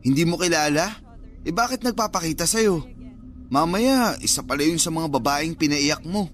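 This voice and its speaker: An actor playing a nervous, well-meaning young cousin in a radio drama, colloquial and concerned. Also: male voice, on the high side, animated, moderate pace, fairly bright tone.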